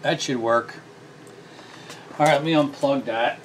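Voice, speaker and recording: A middle-aged man with a mid-pitched voice talks his way through a repair at a workbench. He is relaxed and self-deprecating, and he often restarts his sentences as he works. The camera mic picks up his voice close to his hands, with a faint hum of the room behind him. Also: measured pace, low-quality recording. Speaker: small engine repair host. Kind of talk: casual hands-on repair tutorial. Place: workshop bench, indoors.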